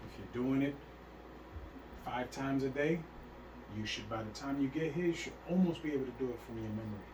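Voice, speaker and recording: A man's voice: mid-range voice, brisk, echoey room. A man teaching a religious class, emphatic and repeatedly checking that his listeners understand. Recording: faint hiss, speaker far from mic.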